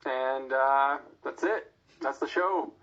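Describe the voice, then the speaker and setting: A man's voice: mid-range voice, takes it slowly, a bit bright, muffled recording. A man hosting a casual sports podcast after a game, sounding drained and dejected. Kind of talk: casual post-game talk.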